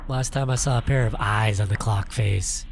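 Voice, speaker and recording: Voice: mid-range voice, normal speed, creaky, lightly acted. Moody, defensive, odd young girl for a horror visual novel, voiced by the narrator, music underneath. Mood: uneasy.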